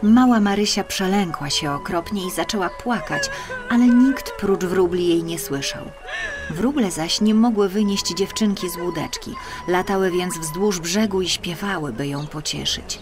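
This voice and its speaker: Low-pitched woman narrating a children's tale. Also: moderate pace, over music. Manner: expressive, careful storytelling. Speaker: audiobook narrator. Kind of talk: scripted storybook narration for children.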